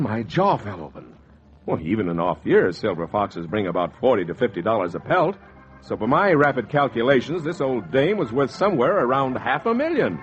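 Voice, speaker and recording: An actor playing a wry, scheming fur speculator in a 1940s radio drama, with a hardboiled storytelling style. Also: male voice, mid-range voice, a bit bright. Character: slick, wry, scheming fur speculator telling his tale. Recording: dull 1940s radio recording, music behind.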